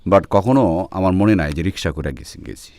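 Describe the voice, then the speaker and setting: Bangladeshi guy w a deep voice telling his own stories, drops english phrases in, dry recording. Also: animated, moderate pace, gravelly, a little mellow, no echo. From Netrokona, Bangladesh. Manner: code-switches often between Bengali and English phrases. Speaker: interview guest. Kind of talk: personal storytelling in an interview.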